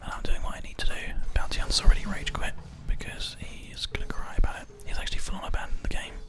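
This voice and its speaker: A man whispers soft, unhurried, soothing ASMR commentary over his gameplay, breathy and close up on a binaural microphone. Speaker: host, gamer. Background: keyboard and mouse clicking.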